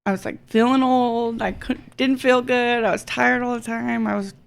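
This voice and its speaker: A woman's voice: fairly high pitch, fairly even intonation, moderate pace, gravelly, smooth. A woman in her twenties chatting casually as a podcast guest. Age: 20s. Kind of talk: casual chat.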